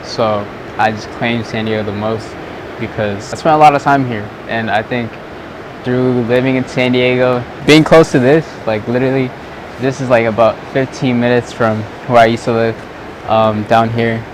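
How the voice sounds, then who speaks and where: A man's voice: mid-range voice, steady tone, moderate pace. A young man from Southern California, a vlogger and photographer, chatting loosely to the camera outdoors at sunset, rambling with lots of "like"s. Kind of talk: casual chat to camera. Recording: outdoor noise with traffic.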